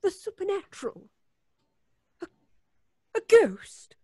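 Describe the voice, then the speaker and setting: An actress playing a distressed, indignant, prim woman, emphatic and high-strung, in old-time radio suspense style. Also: big pitch swings, takes it slowly, mellow, no echo, clean studio sound, heavily acted. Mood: indignant, sceptical.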